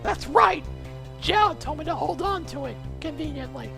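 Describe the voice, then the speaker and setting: A woman voicing an excitable, dramatic young game heroine in a read-aloud over music. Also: high-pitched, normal speed, vocal fry. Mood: excited. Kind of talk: game dialogue read-aloud in a let's play.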